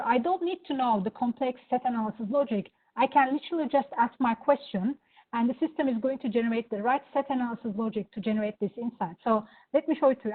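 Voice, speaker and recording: Turkish-accented woman demoing software. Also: on the high side. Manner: enthusiastic, many fillers. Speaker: presenter. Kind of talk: live software demo talk. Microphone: video call. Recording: phone-like online meeting audio.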